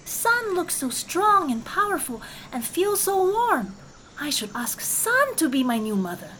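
A woman squeaking as a shrill spoilt boy kitten. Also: big pitch swings, unhurried, a bit bright, slight background noise, full character voice, low-quality recording. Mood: eager. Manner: shrill high calling, strained and pleading. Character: spoilt little boy kitten, demanding and eager.

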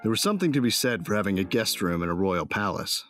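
A deep-voiced male bounty hunter , played by an actor tells his story in the first person with swagger and wry slang. Faint music runs beneath this space opera drama narration. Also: big pitch swings, fast talker, a bit bright. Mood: reflective, cocky. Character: cocky, hot-tempered male bounty hunter with a soft heart.

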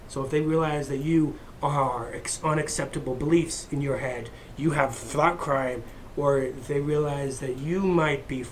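Man, fairly high voice, heated profane rant, rambling, faint background hum. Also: fairly even intonation, unhurried, poor audio. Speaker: vlogger. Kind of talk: unscripted rant to camera.

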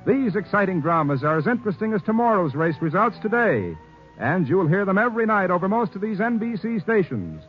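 High-pitched American 1950s radio announcer, male. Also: very animated, a little fast. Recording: muffled 1950s radio recording, music behind.

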